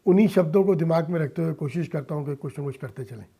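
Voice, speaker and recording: Higher-pitched mellow man, earnest and emphatic. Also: quick pace, vocal fry, husky. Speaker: interview guest, industrialist and philanthropist. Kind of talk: earnest interview answers mixing Hindi and English. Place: radio studio.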